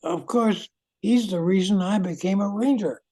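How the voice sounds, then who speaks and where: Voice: medium pitch, normal speed, a little mellow, lightly acted. A performer playing an earnest, kindly park ranger in an amateur radio drama. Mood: friendly.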